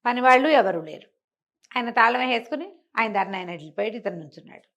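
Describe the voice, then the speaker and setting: A woman's voice: fairly high pitch, animated, fast talker, fairly bright tone, lo-fi sound. A woman storyteller telling a bedtime folk tale conversationally, repeating and explaining small details as she goes.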